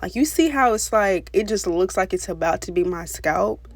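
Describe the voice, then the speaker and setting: A young woman with a raspy voice, talking casually through a hair tutorial voice-over, full of "you know" and "pretty much". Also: mid-range voice, animated, normal speed, no room sound. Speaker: beauty vlogger. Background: soft background music.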